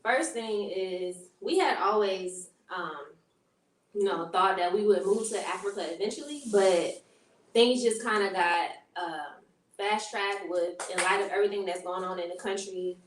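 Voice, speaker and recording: A woman from Queens, New York, a guest talking thoughtfully and with many pauses in a casual conversation. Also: medium pitch, recorded from a distance, a little hiss or hum. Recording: roomy sound from off mic.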